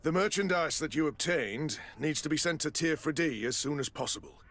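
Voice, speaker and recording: An actor playing a smooth-talking, evasive official in a video game, formal and polite but demanding. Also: male voice, on the high side, animated, a little fast, fairly bright tone. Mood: businesslike, pressing. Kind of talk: video game cutscene acting.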